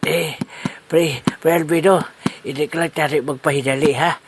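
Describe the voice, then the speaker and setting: A man playing a flustered, out-of-breath Filipino character in a comic audio drama, halting and husky. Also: fairly high pitch, steady tone. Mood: flustered, winded. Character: halting, weary man called Pre Dumeng.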